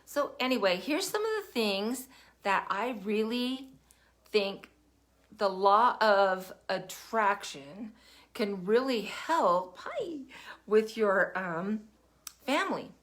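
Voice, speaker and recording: An older woman hosting a casual livestream, chatty and meandering, her throat still rough from a lingering cough. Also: mid-range voice, expressive, takes it slowly. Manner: chatty, warm-hearted, meandering with fillers. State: recovering cough, cough drop in mouth. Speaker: podcast host. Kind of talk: casual livestream talk to viewers.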